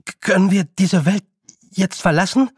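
A man's voice: high voice, moderate pace, husky, no echo, clean studio sound, pitched above the performer's own voice. A man voicing a frightened, stammering boy in a storybook reading, urgent and short of breath. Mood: breathless, urgent. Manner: panting, anxious, pleading.

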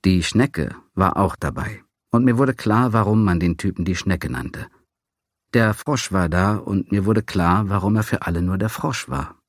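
A man's voice: sits low, a little slow, no room sound. A man narrating a memoir in a dry, sardonic, crude manner.

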